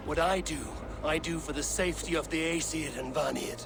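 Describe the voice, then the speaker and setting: Solemn, high-toned Norse god. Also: male voice, music in the background. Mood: proud, defiant. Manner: measured, solemn, persuasive. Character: smooth-talking, fateful Norse god in disguise, proud schemer. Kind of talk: dramatic video game acting. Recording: game cutscene with clear background noise.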